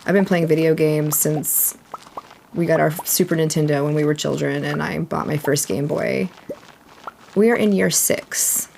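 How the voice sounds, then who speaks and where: Woman from Texas, thirties, very low voice, laid-back stream chat, faint hiss behind. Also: moderate pace, gravelly. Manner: relaxed, chatty, reads chat aloud. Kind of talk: casual gaming stream chat.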